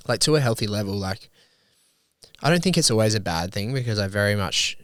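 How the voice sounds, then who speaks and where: A man's voice: lowish voice, expressive, a little fast, dry recording, clean studio sound. A young man co-hosting a podcast, talking casually in a blunt, matter-of-fact way. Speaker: podcast co-host. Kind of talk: casual conversation.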